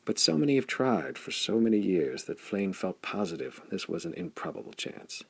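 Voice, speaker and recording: A man's voice: fairly low pitch, big pitch swings, normal speed, creaky, husky, no room sound. A man reading a pulp adventure story as scripted audiobook narration.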